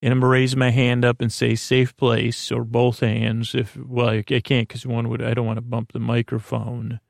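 A man with a creaky voice hosting a bedtime podcast, rambling haltingly and repeating himself in a lulling, soothing way. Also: mid-range voice, completely flat delivery, no echo, studio quality. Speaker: podcast host.

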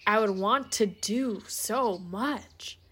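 A woman's voice: medium pitch, slow pace, a bit bright, slightly rough. A woman playing a despairing, short-tempered lone-wolf werewolf in an audio drama, weary and wry, sometimes dropping to near-whispers. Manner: sardonic, swearing, wry laughs. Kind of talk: naturalistic audio drama acting.

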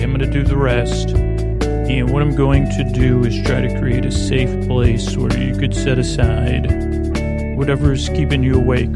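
A man with a creaky voice hosting a sleep podcast, meandering haltingly and goofily through a bedtime monologue and often interrupting himself. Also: medium pitch. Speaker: podcast host. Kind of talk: rambling bedtime monologue to help listeners sleep.

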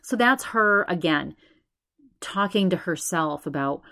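A woman's voice: lowish voice, a little slow. A woman in her forties hosting a solo podcast, talking in a chatty, rambling way and speaking straight to her subscribers. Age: 40s. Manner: chatty, rambling, animated, addresses subscribers directly. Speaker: podcast host.

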